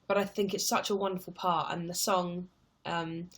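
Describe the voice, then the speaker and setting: A woman's voice: on the low side, flat delivery, moderate pace. Chatty young woman reading out viewer questions. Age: 20s. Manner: chatty and rambling, reads viewer questions aloud. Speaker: livestream host answering viewer questions. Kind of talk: casual live chat.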